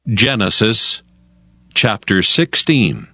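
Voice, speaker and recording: A man narrating scripture from a script in a solemn, measured manner. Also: lowish voice, big pitch swings, bright, forceful tone, no echo.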